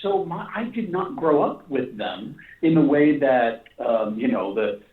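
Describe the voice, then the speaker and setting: A man's voice comes through echoey and thin, like a phone line. He is a writer in a casual interview, circling back over his phrases in a halting, rambling way as he works out his thoughts. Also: mid-range voice, expressive. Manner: halting, rambling, repeats phrases as he thinks aloud. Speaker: animation and comic writer being interviewed. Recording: echoey, distant, phone-like call audio.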